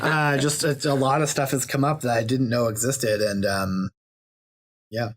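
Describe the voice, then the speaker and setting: A man's voice: medium pitch, steady tone, normal speed. A man who is a guest on a casual podcast, talking thoughtfully and hesitantly with many ums. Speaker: podcast guest, YouTube creator.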